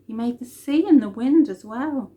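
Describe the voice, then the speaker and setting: A woman's voice: on the high side, expressive, normal speed, muffled recording. A woman reading a children's story expressively, with dramatic emphasis on key words.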